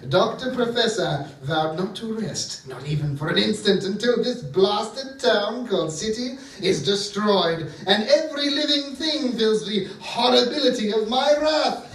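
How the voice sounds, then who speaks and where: A man's voice: high-pitched, animated, unhurried, hardly any pauses, fairly bright tone, heavily acted. A man playing a pompous, ranting mad-scientist supervillain in a comic stage radio play, melodramatic and grandiose. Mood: grandiose, wrathful. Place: theatre stage before an audience. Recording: echoey theatre stage, distant mic.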